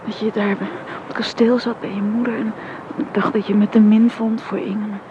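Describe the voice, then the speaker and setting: A woman acting a shy young sweetheart in a radio play. She speaks softly and hesitantly at a medium pitch, intimate and happy, on a muffled, noisy recording. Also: level tone, moderate pace, lightly acted. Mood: tender, confiding. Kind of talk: Dutch radio drama acting.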